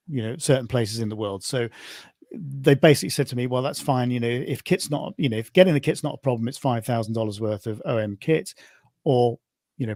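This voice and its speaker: A man with a British accent hosting an informal online presentation as a competition organiser, speaking in a conversational, halting way. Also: medium pitch, darkish tone, no echo, clean studio sound. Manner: conversational, halting, often repeats "you know".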